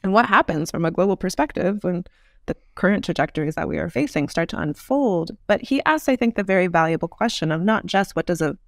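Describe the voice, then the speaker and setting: Woman with creaky voice explaining ideas. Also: fairly low pitch, expressive, brisk, dry recording, studio quality. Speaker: podcast guest, futurist author. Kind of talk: thoughtful interview conversation.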